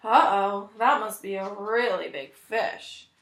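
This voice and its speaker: A woman voicing an excitable, easily frightened male cat king in a storybook, exclaiming dramatically. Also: medium pitch, slow pace. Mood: worried. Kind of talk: storybook read-aloud character. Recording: echoey room.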